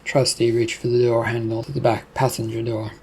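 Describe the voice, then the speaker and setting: A man's voice: medium pitch, completely flat delivery, a little fast, vocal fry, mellow, husky. A man reading scripted fiction as a narrator.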